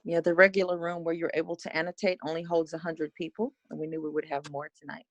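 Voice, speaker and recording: A woman's voice: sits low, little pitch movement, fast, dull audio. A woman hosting an online meeting, helpfully explaining the call's settings over a video call. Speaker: meeting host. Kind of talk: casual explaining.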